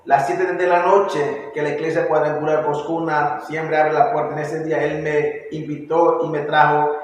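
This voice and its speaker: A man's voice: fairly high pitch, a little hiss or hum. A Panamanian man in his forties with a Panamanian Spanish accent, earnestly giving his church testimony and often restarting words. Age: 40s. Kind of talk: personal testimony. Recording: echoey hall, distant mic.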